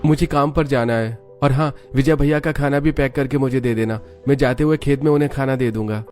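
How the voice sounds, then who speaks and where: A man voicing a hurried, demanding young husband in a cartoon story. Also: medium pitch, fairly even intonation, a little mellow, faint music behind, voice pushed up, natural voice. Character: hurried young husband asking for his lunch. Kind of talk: Hindi cartoon story dialogue.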